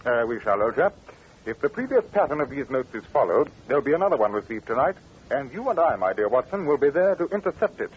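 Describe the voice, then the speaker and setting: An actor playing a shrewd, blunt English gentleman detective, precise and formal, in 1940s radio drama style. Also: medium pitch, big pitch swings, fast, close to an everyday voice. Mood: commanding. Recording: dull, noisy old radio recording.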